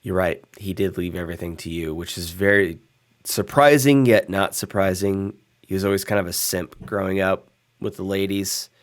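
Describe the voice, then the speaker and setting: A guarded male vampire in a tabletop game who rambles through his explanations, played by a man. The voice is very deep, hesitant and full of restarts. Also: normal speed, natural voice. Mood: apologetic.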